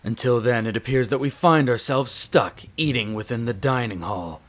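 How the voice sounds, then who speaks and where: A man's voice: mid-range voice, normal speed, natural voice. A man playing a haughty, irritable aristocratic vampire master in an audio roleplay, speaking in a curt, condescending, formal manner. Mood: grumbling. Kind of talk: scripted audio roleplay acting.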